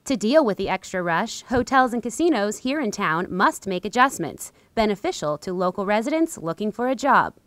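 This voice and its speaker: A woman's voice: mid-range voice, big pitch swings, normal speed, hardly any pauses, fairly bright tone, no room sound. A woman reporter delivering scripted news narration for a television package.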